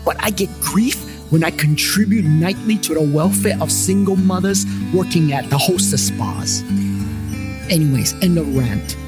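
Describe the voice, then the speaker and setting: A man's voice: a little high, lively intonation, normal speed. Wry male storyteller over prominent guitar music. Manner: wry, irreverent, rambling asides to the listener. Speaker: storyteller narrator and host of a paranormal investigations series. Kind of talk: first-person comedic scripted storytelling. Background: plucked guitar music.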